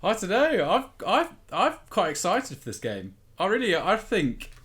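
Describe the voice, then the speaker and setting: A man's voice: sits high, wildly swooping pitch, a little slow, a bit bright. Man talking through football analysis. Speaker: football podcast pundit. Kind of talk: analytical sports chat.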